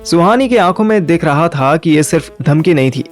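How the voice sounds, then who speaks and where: A man's voice: fairly high pitch, animated, fairly quick, soft background music. A man narrating a first-person story and acting its dialogue in colloquial Hindi mixed with English. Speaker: narrator and lead character of an audio story.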